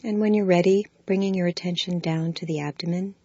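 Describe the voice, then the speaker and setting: Low-voiced calm meditation guide woman. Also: no room sound, muffled recording. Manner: slow, soft, calm instructions. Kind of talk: guided body scan meditation.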